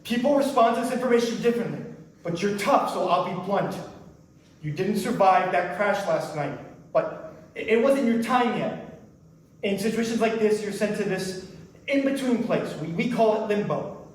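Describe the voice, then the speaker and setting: A man's voice: sits high, animated, moderate pace. Heard from off the mic in a very echoey hall, a lonely afterlife official played by a man talks in a stage drama. He is conflicted, trying to be blunt but faltering and repeating himself. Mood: measured, blunt. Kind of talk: staged play reading. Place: indoor performance space before an audience. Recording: echoey hall, distant microphone.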